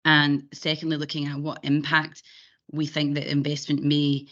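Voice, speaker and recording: A woman with a croaky, raspy voice from a cold, giving a webinar presentation with many ums and restarts. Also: deep voice, completely flat delivery, moderate pace, fairly bright tone. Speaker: bank presenter. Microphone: video call. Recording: dull lo-fi call audio.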